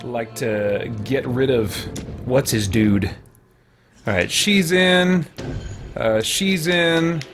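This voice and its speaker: A man's voice: mid-range voice, extremely slow. A man giving casual let's play commentary, muttering to himself as he plays. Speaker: gaming channel host. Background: music.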